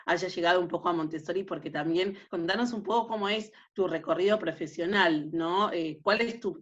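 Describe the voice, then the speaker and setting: A woman hosting an online talk on a video call, welcoming and measured, with many filler pauses. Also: mid-range voice, fairly bright tone, dull audio. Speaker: host, moderator.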